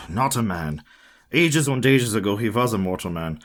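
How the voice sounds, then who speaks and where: An actor playing a solemn, authoritative older professor and vampire hunter in a radio play, speaking formally and deliberately. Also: male voice, fairly low pitch, animated. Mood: grim.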